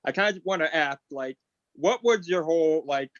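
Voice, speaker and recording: A man's voice: fairly high pitch, moderate pace, fairly bright tone, no echo. A man who acts on stage, chatting casually and informally on a video call. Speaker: actor in the play. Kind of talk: casual chat. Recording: slightly dull video-call audio.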